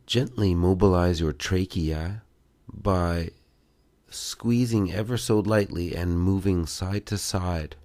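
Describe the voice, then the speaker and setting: A man with a low voice leads a guided relaxation exercise. He speaks very slowly, in short instructional phrases with pauses between them. The sound is dry and close, with no room reverb. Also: a little mellow. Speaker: meditation and self-massage instructor.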